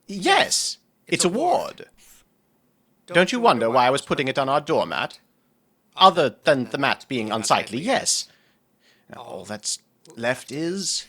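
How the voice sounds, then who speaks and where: A refined, nerdy male healer devoted to his lover in an occult audio drama. His voice is bright and highly animated, with a mid pitch and playful, formal phrasing. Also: measured pace, pauses often. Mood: playful, pleased. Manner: genteel, playful, formal wording.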